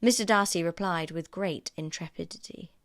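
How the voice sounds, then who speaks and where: A woman narrates a classic novel for an audiobook. Her voice sits a little low for a woman, and the recording is very dry, with scripted literary phrasing. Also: moderate pace, a bit bright, dry recording.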